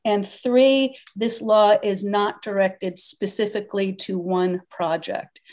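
An older woman chairing a town council public hearing over a video call from her home, brisk and procedural, laying out her answers point by point. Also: mid-range voice, fairly even intonation, a little mellow. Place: home dining room indoors. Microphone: laptop webcam on a video call.

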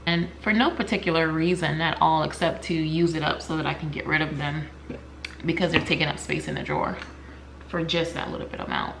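A woman's voice: deep voice, steady tone, a little fast, vocal fry. A woman in her forties talking viewers through her meal prep in a home kitchen, casual and step-by-step, with a laugh now and then. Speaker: cooking vlogger. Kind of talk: casual cook-along narration. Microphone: camera mic, close to mid distance. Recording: noisy home kitchen recording.

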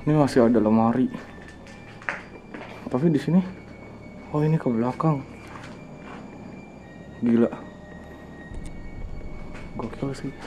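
A man's voice: mid-range voice, takes it slowly, long pauses, dull audio. A young man with a Jakarta-area Indonesian accent narrates a casual exploration vlog in a slangy, exclamatory way, dropping in English interjections. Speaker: vlogger exploring abandoned places. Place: abandoned villa at night, moving through rooms. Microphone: handheld camera microphone. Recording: clearly noisy handheld outdoor-indoor ambience.

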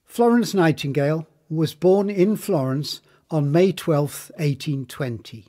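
A man reading a scripted documentary narration in a formal, measured manner. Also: on the high side, mellow.

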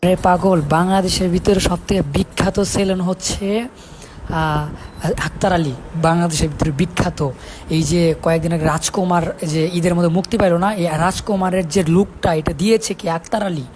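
A man's voice: high voice, steady tone, fairly quick, darkish tone, a little hiss or hum. A man with a Bangladeshi accent talking casually in an insistent, persuading way, often repeating his appeals. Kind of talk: casual conversation.

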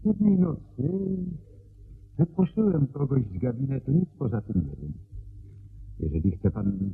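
A polite, evasive male secretary in a radio play. His voice is gravelly and moves up and down freely as he chooses words carefully. It comes through a narrow, hissy old-radio sound. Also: mid-range voice, big pitch swings, unhurried. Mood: polite, guarded. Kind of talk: Polish radio play acting.

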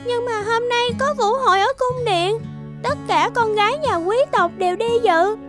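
Very high, whiny little girl. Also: normal speed. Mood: vain, sulky. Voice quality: childlike, high and bright. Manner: whiny, theatrical. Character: vain, spoiled little girl, later frightened and pleading. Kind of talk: cartoon fairy-tale dubbing. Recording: music behind the voice.

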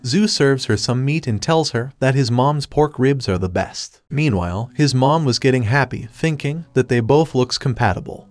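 A man giving a scripted third-person recap of a comic story in a steady retelling manner. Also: mid-range voice, moderate pace, hardly any pauses. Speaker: recap narrator. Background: faint background music.

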